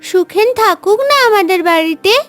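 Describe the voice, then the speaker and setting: Woman as a very high, sweet little girl. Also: moderate pace, fairly bright tone, soft background music. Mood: curious, sweet. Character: kind, curious little landlord's daughter. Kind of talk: Bengali animated story voice acting.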